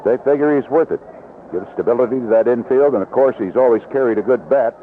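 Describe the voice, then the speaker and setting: A man calling live baseball play-by-play on the radio in clipped, unhurried descriptive phrases. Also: mid-range voice. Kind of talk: live radio sports commentary. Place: outdoor ballpark broadcast position. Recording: vintage 1970s radio broadcast, lo-fi, noisy.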